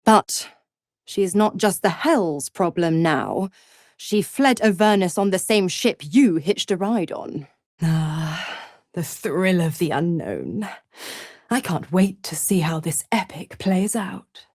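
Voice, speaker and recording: A woman's voice: on the low side, lively intonation, slow pace, a little mellow, no echo, clean studio sound, heavily acted. A woman playing a mocking, scheming female devil in a video game, theatrical, teasing and condescending. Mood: teasing, amused. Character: seductive, mocking, scheming female devil, smugly cruel patron. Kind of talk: video game character acting.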